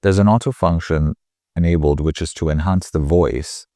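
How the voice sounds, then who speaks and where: A man with a slightly nasal voice, casually talking through a software tutorial in a chatty, rambling way. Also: sits low, normal speed, dry recording, clean studio sound. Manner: chatty, rambling, self-correcting. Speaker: tutorial host. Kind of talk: casual screen-recording tutorial.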